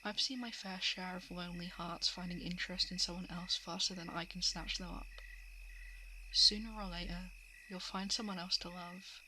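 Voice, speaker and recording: A woman's voice: fairly low pitch, steady tone, moderate pace, sharp tone, low-quality recording. Woman as a guarded monster girl amid night insects. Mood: dismissive, wistful. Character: female shapeshifting heart-eating monster, guarded, coolly predatory. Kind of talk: ASMR roleplay monologue. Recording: clear background noise of night insects, frogs. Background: crickets, frogs and night insect ambience.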